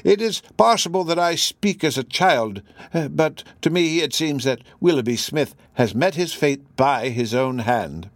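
A man's voice: a little high, expressive, measured pace, no echo, voice pushed up. A man voicing an elderly, scholarly professor in an audiobook, courteous and philosophical, speaking hesitantly with small stammers. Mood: musing, courteous. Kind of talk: audiobook character voice.

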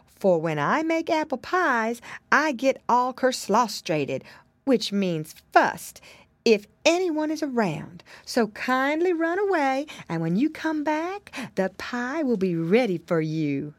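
A woman's voice: on the high side, big pitch swings, takes it slowly, no echo, pitched above the performer's own voice. A woman doing a sweet fussy pie lady. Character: cheerful whistling pie-baking lady.

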